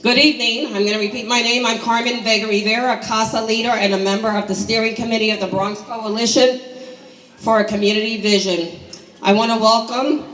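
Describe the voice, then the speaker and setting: A hoarse woman in her sixties from New York City gives an emphatic, deliberate prepared speech at a community meeting. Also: a little high, fairly even intonation, a bit bright. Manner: emphatic, deliberate rhetorical delivery. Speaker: community housing activist. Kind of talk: prepared public speech at a town hall. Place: large indoor community hall. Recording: echoey hall, distant microphone.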